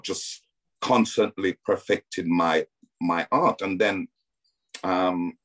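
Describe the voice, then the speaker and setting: A man's voice: deep voice, slightly rough, poor audio. A middle-aged man with a Jamaican accent, telling his story as a guest on a video call, hesitant with many ums and restarts.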